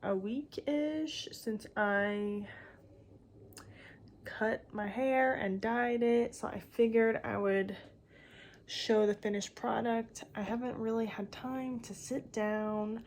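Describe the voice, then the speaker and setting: A young woman with a slightly raspy voice chatting casually and off the cuff to a phone camera, rambling with lots of filler words. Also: fairly high pitch, steady tone, slow, darkish tone, slight background noise. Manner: rambling, filler-heavy with frequent "like". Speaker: vlogger. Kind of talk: casual impromptu chat to camera.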